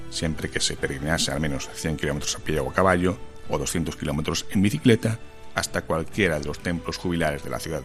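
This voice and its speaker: A man reading a formal news voice-over in a measured, steady way. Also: a bass voice. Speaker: news narrator. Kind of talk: scripted voice-over narration.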